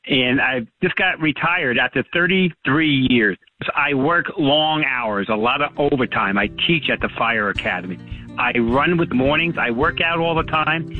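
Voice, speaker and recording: A man's voice: medium pitch, animated, normal speed, soft background music. A mature man giving a customer testimonial over a thin, phone-like line. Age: middle-aged or older. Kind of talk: unscripted spoken testimonial.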